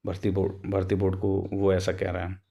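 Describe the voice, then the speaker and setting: A man's voice: low-pitched, monotone, fairly quick, gravelly, mellow. A man giving an informal explanatory commentary, rephrasing and repeating himself as he goes. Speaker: YouTube host explaining a court case update.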